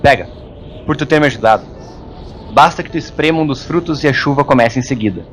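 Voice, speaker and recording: A man with a Brazilian accent playing a gruff, insulting hermit monk with magic powers in an audio story. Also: mid-range voice, fast, a little hiss or hum, natural voice. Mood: gruff, conceding. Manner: gruff, scornful, exasperated. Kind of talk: audio drama acting.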